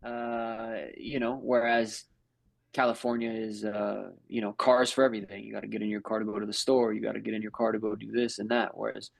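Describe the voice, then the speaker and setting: A man in his thirties from Brazil, raised in New York, a native Portuguese speaker chatting in a relaxed, rambling way as a guest on a podcast. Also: fairly low pitch, brisk, gravelly, no room sound. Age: 30s. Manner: laid-back, rambling, lots of fillers and "bro", "man". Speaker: guest, house flipper. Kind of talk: casual podcast chat.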